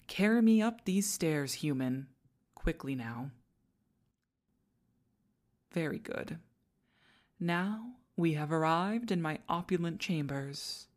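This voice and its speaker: Woman as demon queen, low pitch, slow pace, imperious, pompous, easily flustered, ASMR roleplay. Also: darkish tone, exaggerated voice. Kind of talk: ASMR roleplay addressed to the listener.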